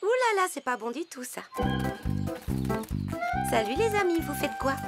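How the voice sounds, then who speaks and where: A woman voicing an excitable, superstitious young female animal in a children's cartoon, given to dramatic warnings. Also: sits high, moderate pace. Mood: eager. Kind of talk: children's cartoon dub acting. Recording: music behind the voice.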